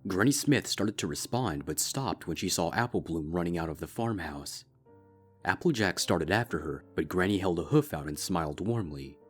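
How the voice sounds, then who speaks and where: A man with a low, deep voice reads a dark story aloud in a steady, measured way. Soft music sits faintly underneath. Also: husky. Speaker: fanfic reader. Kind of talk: scripted narration.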